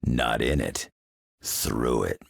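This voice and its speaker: A man's voice: a bass voice, slow pace, gravelly, breathy edge, dry recording, clean studio sound, heavily acted. A man voicing a grave, brooding comic-book hero in a video game, with a terse, dramatic delivery. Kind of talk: video game character voice lines.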